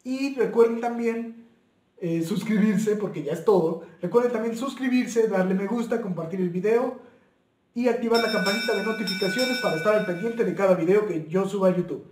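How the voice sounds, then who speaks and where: A man's voice: high voice, moderate pace, a little mellow, echoey room, slight background noise. A man hosting an informal video tutorial, explaining things step by step and repeating and rephrasing his points. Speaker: YouTube channel host.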